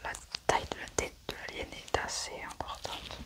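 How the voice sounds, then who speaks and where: A whispered ASMR voice in the role of a medical examiner. The delivery is soft and methodical, describing each step of the procedure in a hushed breath. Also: moderate pace. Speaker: ASMR roleplayer acting as an examiner doing an alien autopsy.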